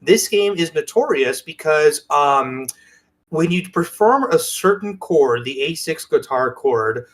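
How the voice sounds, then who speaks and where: Higher-voiced man in casual podcast chat. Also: lively intonation, slow pace. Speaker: podcast co-host. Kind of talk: casual show-and-tell chat.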